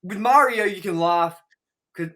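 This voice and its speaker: A man with a bright, fairly high voice talks through a casual movie review. He is emphatic and opinionated, swearing freely as he makes his case as co-host. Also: measured pace.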